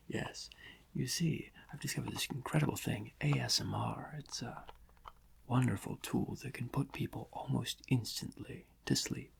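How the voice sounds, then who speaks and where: Slow, whispery man as a gloating villain. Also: mid-range voice. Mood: sly, boastful, then scheming, gleeful. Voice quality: breathy, husky, often whispered. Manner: slow, savouring, gloating, with drawn-out pauses. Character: gloating male criminal mastermind villain, smug and theatrical. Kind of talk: soft-spoken ASMR roleplay.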